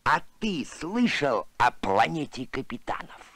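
A man's voice: mid-range voice, very animated, measured pace, a bit bright, no echo, full character voice. A man voicing a big, emotional, sentimental alien archaeologist in a Soviet cartoon, theatrical and exclamatory. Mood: excitable. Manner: theatrical, exclamatory, swinging to self-pity. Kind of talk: Soviet animated film voice acting.

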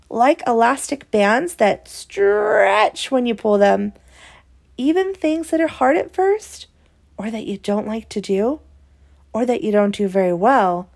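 A woman's voice: on the high side, creaky, a little mellow. A woman reading a children's picture book aloud, explaining it expressively to young listeners. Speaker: read-aloud presenter.

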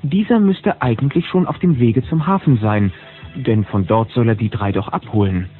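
A man's voice: mid-range voice, normal speed, faint music behind, natural voice. A man narrating a children's audio drama as a chatty, knowing storyteller who poses rhetorical questions. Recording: phone-like old cassette sound.

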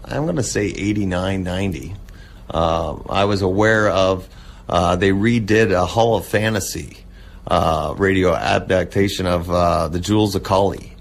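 A man speaking casually as an interview guest, halting and reminiscing as he goes. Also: low voice, creaky. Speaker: interview guest, old-time radio collector and restorer. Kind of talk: casual radio interview talk.

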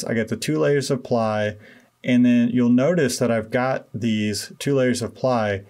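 A man in his thirties giving a relaxed, self-deprecating voice-over walkthrough of a home building project, with many fillers. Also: mid-range voice. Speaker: DIY renovation vlogger.

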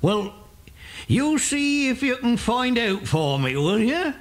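High-voiced rustic farm hand. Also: male voice, very animated, slow, heavily acted. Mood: concerned.

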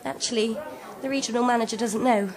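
A woman's voice: a little high, fairly even intonation, quick pace, a crowd in the background, lightly acted. A woman playing an awkward, anxious office boss who chatters nervously in an audio drama. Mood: flustered, awkward. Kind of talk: comic audio drama acting.

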